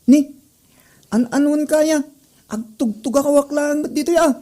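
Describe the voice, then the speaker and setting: A woman's voice: high voice, a little slow, dark tone. A woman with an Ilocano accent playing a cheeky, mischievous schoolboy who teases everyone in a comedy drama. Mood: teasing. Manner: teasing, mischievous. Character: cheeky, teasing troublemaker schoolboy Joel.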